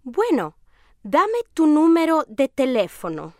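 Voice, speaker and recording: A woman's voice: sits high, huge pitch swings, takes it slowly, dark tone, no room sound, clean studio sound, full character voice. A woman playing a friendly young woman in a scripted language-lesson dialogue, speaking carefully. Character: friendly young woman from Madrid, aged nineteen.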